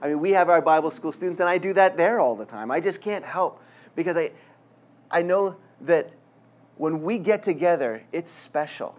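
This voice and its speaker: A man's voice: a little high, lively intonation, normal speed. A middle-aged man from Quebec with a Canadian accent, preaching a conversational sermon with self-deprecating humor. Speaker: guest preacher. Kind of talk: sermon with jokes and prayer.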